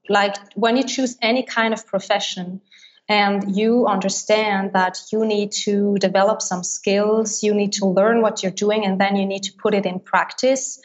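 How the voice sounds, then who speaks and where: A woman talks through her answers in a relaxed, fluent way, peppering them with fillers and small restarts. Her pitch barely moves, so the delivery sounds level and even throughout. The recording is dull and lo-fi. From Switzerland. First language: Swiss German. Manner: fluent, many likes and restarts. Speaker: business coach, interview guest. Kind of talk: casual interview answers.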